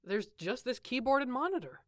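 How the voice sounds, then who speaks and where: A woman voicing a casual, excitable young male college student in a game fan dub. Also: on the high side, a little fast, no echo, clean studio sound. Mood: casual, puzzled. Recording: music playing behind the voice.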